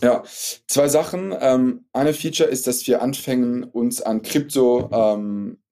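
This is a man with a Luxembourgish accent whose first language is French, chatting as a podcast guest. His voice is dark and mellow. He pauses often and circles back with fillers and restarts as he explains. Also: medium pitch. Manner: halting, many fillers and restarts. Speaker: startup founder guest. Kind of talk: casual podcast interview.

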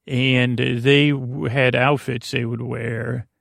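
A man hosting a bedtime podcast, meandering and halting as he interrupts himself and drifts through a rambling monologue with a creaky voice. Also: medium pitch, flat delivery, a bit bright, no echo, studio quality. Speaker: podcast host.

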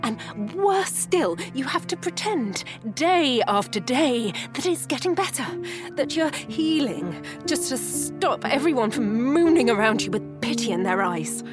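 An actress playing a grieving, bitter wife and lapsed writer in a 1930s noir audio drama, articulate and wry. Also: female voice, high voice, sing-song, a little slow, music in the background. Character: grieving, bitter English writer wife in 1937, sharp-tongued. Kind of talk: scripted gothic noir audio drama acting.

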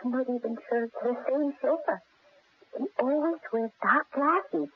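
An actress playing an earnest, anxious young housekeeper in a radio drama, speaking politely and deferentially. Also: female voice, sits high, normal speed, breathy edge. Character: earnest, anxious young Irish-American housekeeper, stubbornly loyal. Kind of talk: 1970s radio drama acting. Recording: muffled phone-like vintage radio recording.

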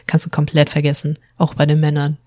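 A young woman chatting casually, full of fillers and quick laughs. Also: deep voice, monotone, mellow, slight background noise. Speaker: co-host. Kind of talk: casual chat. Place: living in Japan.

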